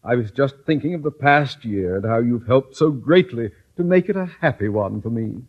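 Husky, pompous, blustering school principal. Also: male voice, mid-range voice, lively intonation, moderate pace. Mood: falsely sweet. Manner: pompous, theatrical, formal diction. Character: blustery, pompous, scheming middle-aged male school principal and father. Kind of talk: 1940s-50s radio comedy acting. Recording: dull old radio recording.

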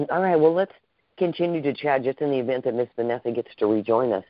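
A man's voice: medium pitch, steady tone, fast talker, no echo. A man hosting a podcast interview, effusive and gushing, often repeating himself. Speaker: podcast host. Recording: dull lo-fi call audio.

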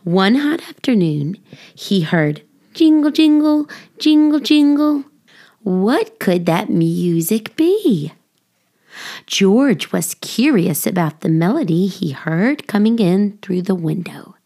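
A woman narrates a children's storybook at a very unhurried pace. Her pitch sits in a middle range, and her phrasing is expressive and deliberate, shaped for young listeners. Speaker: audiobook reader, children's storyteller.

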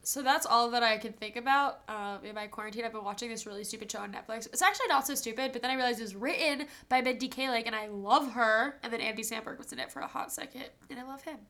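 A woman's voice: a little high, fast talker, few pauses, pushed and bright. A young woman co-hosting a podcast with a stuffy nose, chatting casually and laughing often while telling stories. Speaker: podcast co-host. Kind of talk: casual chat.

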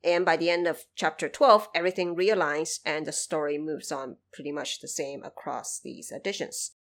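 A woman's voice: low-pitched, fairly even intonation, normal speed. A woman hosting a podcast, giving scripted explanations with conversational asides addressed straight to the listener. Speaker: podcast host.

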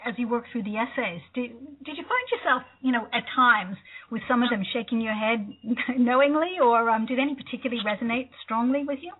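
A woman radio interviewer asks long, winding questions, laughing softly now and then. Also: fairly high pitch, fairly quick, breathy edge. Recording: narrow phone-like line.

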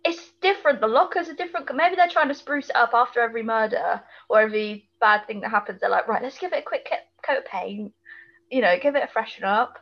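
A woman's voice: a little high, quick pace, gravelly, highs cut off. A British woman chatting casually and colloquially as a podcast co-host, full of opinions while recapping television. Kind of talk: casual chat, TV episode recap.

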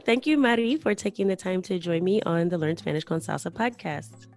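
A woman's voice: lowish voice, animated, fairly quick, a little mellow, soft background music. A woman hosting a podcast, chatting casually with her guest and using many fillers. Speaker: podcast host. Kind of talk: casual interview chat.

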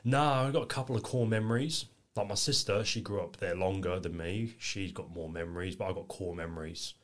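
A man's voice: low-pitched, brisk. An English-born man, chatting easily as a podcast guest, rambling with lots of fillers. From England. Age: 20s. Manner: easygoing, rambling, lots of "you know". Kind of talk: casual interview chat.

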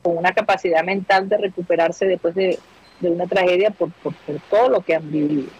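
A woman's voice: fairly low pitch, steady tone, a little fast, a little mellow, husky, highs cut off. A woman co-hosting a casual chat show, talking in a reflective way and often agreeing with the others. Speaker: co-host.

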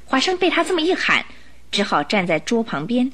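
A woman's voice: a little high, big pitch swings, brisk, fairly bright tone. A woman narrator telling a scripted story for a radio drama in a dramatic storytelling style.

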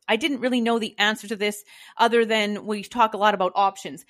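A woman's voice: fairly high pitch, a bit bright. A woman hosting a casual interview about fitness, speaking earnestly in long run-on lists. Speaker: podcast host and fitness coach.

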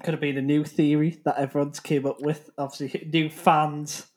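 He is a man with a fairly high voice. He talks casually as a podcast guest, circling back over his phrases in a hesitant, repetitive way. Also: little pitch movement, moderate pace, darkish tone. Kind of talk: casual chat.

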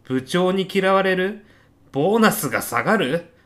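A man's voice: high-pitched, lively intonation, moderate pace, fairly bright tone. A man in a comedic radio drama playing a meek, hapless junior businessman, deferential and hesitant but prone to blunt exasperation. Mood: furious, exasperated.

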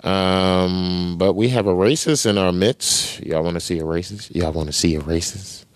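A man's voice: sits low, steady tone, moderate pace, dry recording. A man hosting a podcast, reading news aloud and commenting bluntly in casual slang. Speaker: podcast host.

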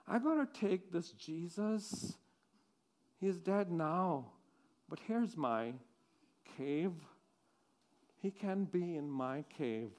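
A defiant elder of the council, as a man plays him in a church monologue talks earnestly and slowly, restarting his phrases as he goes. The voice is high for a man. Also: animated, a little mellow. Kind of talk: dramatic monologue for a congregation.

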